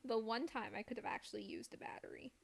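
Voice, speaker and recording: A woman's voice: fairly high pitch, expressive, fast talker, creaky, slightly rough. A woman chatting casually while playing a game on stream, playful and self-deprecating with silly exclamations. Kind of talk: casual gameplay chat.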